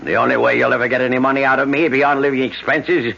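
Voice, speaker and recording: A man's voice: medium pitch, level tone, quick pace, creaky, pushed and bright, breathy edge, exaggerated voice. An actor playing a cold, controlling older father in a 1970s radio drama, harsh and commanding. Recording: dull vintage radio recording.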